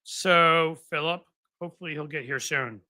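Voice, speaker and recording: A man in his fifties hosts a casual livestream, speaking with a bright, forceful voice a bit higher than most men's. He rambles and pokes fun at himself, full of fillers and restarts, close to the mic in a dry room. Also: moderate pace, dry recording. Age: 50s. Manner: rambling, self-deprecating, frequent fillers and restarts. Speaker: host.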